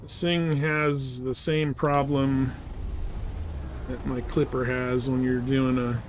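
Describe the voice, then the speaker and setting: A man's voice: mid-range voice, level tone, slow pace, slight background noise. A man giving casual, rambling commentary while livestreaming a space combat game. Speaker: live streamer playing a space combat game.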